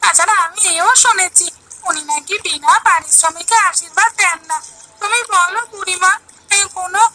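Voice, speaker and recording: A woman's voice: very high-pitched, normal speed, pushed and bright, a little hiss or hum, full character voice. A woman voicing a poor village boy in a cartoon story, earnest, plaintive and indignant. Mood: aggrieved, earnest. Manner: plaintive, complaining. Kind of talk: Bengali cartoon story dialogue.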